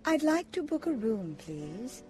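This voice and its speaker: A woman's voice: a little high, very animated, unhurried, darkish tone, soft background music. A woman playing a vain, haughty stage actress in an audio drama, grand and condescending in manner.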